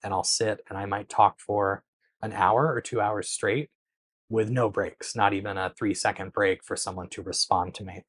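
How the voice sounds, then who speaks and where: A man hosting a knitting channel, answering viewer questions in a relaxed, patient chat to camera, with many small pauses, recorded on a clip-on mic. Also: lowish voice, breathy edge, no room sound. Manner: conversational, explains patiently with frequent um and so.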